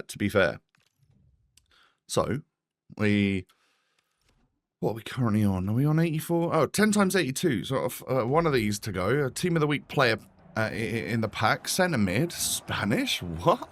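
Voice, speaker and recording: A man's voice: mid-range voice, fairly bright tone, no room sound, studio quality. A British man with a slightly bunged-up nose, sounding tired as he gives chatty, casual gameplay commentary as a gaming host. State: slightly congested.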